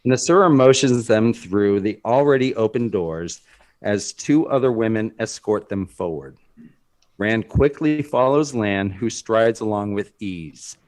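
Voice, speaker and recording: Male screenplay narrator, low register, very measured dramatic reading. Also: a little mellow.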